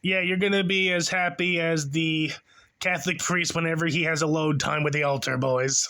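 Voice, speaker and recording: A man's voice: a little high, fairly even intonation, normal speed, sharp tone. A man in his twenties hosting a reaction video, giving sarcastic, exasperated commentary that mocks the person in the clip. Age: 20s. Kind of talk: mocking commentary over a clip.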